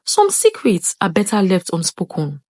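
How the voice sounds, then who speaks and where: A woman's voice: medium pitch, huge pitch swings, normal speed, darkish tone, husky, voice pushed down. A woman voicing a grave, foreboding old male village elder who gives solemn warnings in a storybook folktale.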